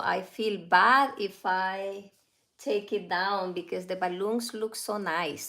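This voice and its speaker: A woman and mother whose first language is Spanish, hosting a casual live stream at home, talking slowly with many fillers and small laughs. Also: mid-range voice, vocal fry, fairly bright tone. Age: adult, a mother. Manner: slow, halting, with frequent fillers and light laughs.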